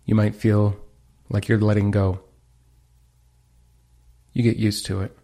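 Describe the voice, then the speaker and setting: A man leading a guided meditation, giving calm, gentle instructions with pauses. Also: lowish voice.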